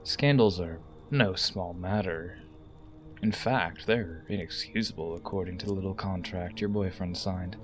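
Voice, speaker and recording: Sinister blackmailer, low pitch, smug, controlled menace, clear music behind. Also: male voice, a little slow. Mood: quietly threatening. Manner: threatening, controlled. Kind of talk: audio drama dialogue. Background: prominent music.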